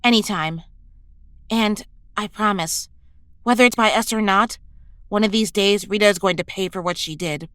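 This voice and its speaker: A woman's voice: medium pitch, normal speed, long pauses, a bit bright, natural voice. A woman playing a supportive, college-age superhero in an audio drama, talking casually and sincerely. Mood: reassuring.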